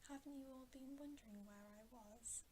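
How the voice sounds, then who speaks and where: A woman voicing an earnest, excitable young storybook girl in a breathy, insistent half-whisper. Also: high-pitched, moderate pace, slight background noise, close to an everyday voice. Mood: eager, amazed.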